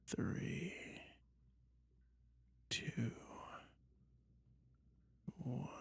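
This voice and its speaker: A man voicing a soothing, toying dragon in a soft, breathy whisper, slowly lulling the listener in an ASMR hypnosis roleplay. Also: medium pitch, no room sound, voice pushed up, full character voice. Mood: teasing, tender. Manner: slow, soothing, lulling, drawn-out phrases.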